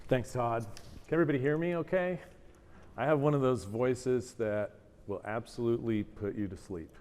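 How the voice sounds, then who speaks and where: A man with a low voice opening his lecture as the guest speaker, soft-spoken and full of fillers. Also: moderate pace. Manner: soft-spoken, self-deprecating, many fillers. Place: lecture auditorium. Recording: long hall reverb, faint room noise.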